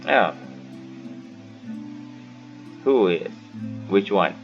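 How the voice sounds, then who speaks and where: A man hosts a casual game playthrough in a slow, creaky voice. He mutters and thinks aloud over the game. The sound is a little dull, with clear music behind. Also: lowish voice, animated. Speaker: gaming host.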